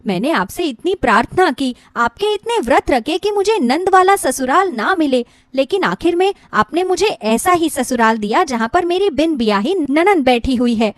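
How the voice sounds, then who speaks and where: A woman's voice: high-pitched, dry recording, studio quality. A woman voicing an anxious young bride who frets plaintively, in a moral story. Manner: worried, plaintive. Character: anxious young bride fretting about her new in-laws. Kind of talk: animated moral-story character voice.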